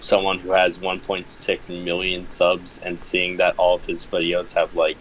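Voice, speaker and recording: A man's voice: low voice, monotone, a little slow, fairly bright tone, a little hiss or hum, low-quality recording. A man chatting casually on a livestream, blunt and sweary, with hesitant phrasing.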